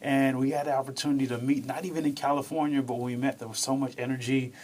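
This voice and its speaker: A man's voice: medium pitch, fairly even intonation, fast, darkish tone. An enthusiastic man hosting an interview podcast, often repeating words as he starts his questions. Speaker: podcast host.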